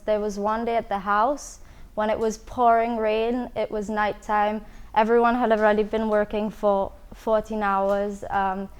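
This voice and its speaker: Female producer from Trinidad and Tobago; flat, even intonation, clean smooth tone. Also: medium pitch, measured pace. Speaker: film producer. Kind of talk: casual interview talk.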